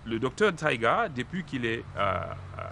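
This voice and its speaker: Interview guest: Cameroonian man, medium-pitched, formal but halting and deliberate, with slight noise behind him. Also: lively intonation, a bit bright. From Far North region of Cameroon. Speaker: dairy industry representative being interviewed.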